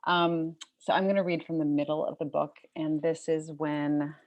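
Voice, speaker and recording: A woman's voice: deep voice, flat delivery, normal speed, a little mellow, highs cut off. A woman novelist reading her own literary fiction to an audience at a book event.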